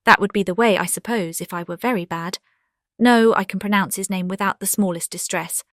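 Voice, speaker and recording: A woman playing a confident, witty young gentlewoman in an audiobook drama, with a British accent and an expressive, self-assured delivery. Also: mid-range voice, brisk, no room sound, studio quality, natural voice. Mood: musing, resolute. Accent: British English. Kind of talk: dramatized classic novel audiobook.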